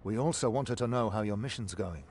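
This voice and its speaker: An actor playing an earnest, loyal soldier in a fantasy video game. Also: male voice, lowish voice, a little fast, darkish tone, husky. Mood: urgent briefing. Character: earnest, loyal male soldier reporting on war.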